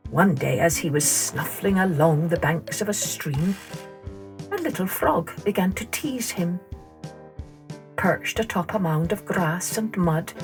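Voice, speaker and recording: A woman narrating scripted children's folktales as a storyteller, in an expressive, measured way. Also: fairly low pitch, soft background music. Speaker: storyteller, podcast host.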